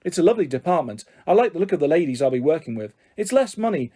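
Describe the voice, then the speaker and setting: A man's voice: a little high, big pitch swings, fast talker, mellow. A man reading the part of a middle-aged, old-fashioned mother with an earnest, wavering way of talking. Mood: cheerful.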